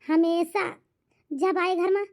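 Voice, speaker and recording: A performer plays an elderly village mother-in-law in a cartoon comedy, with an extremely high, childlike pitch and an Awadhi accent. She laments at length, repeats herself, and is blunt and full of regret. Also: fairly even intonation, slow. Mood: troubled, complaining.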